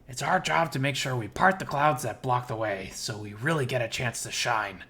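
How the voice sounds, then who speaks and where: A man acts out a young male animal survivor from a furry visual novel. He is earnest and curious, with a hopeful streak, and speaks at a middling pitch. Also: moderate pace, pushed and bright.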